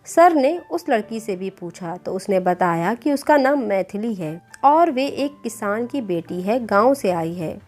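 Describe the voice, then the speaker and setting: A woman's voice: mid-range voice, expressive, measured pace, hardly any pauses, a little mellow. A woman reading a scripted moral story as a storyteller. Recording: clear background music bed. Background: music.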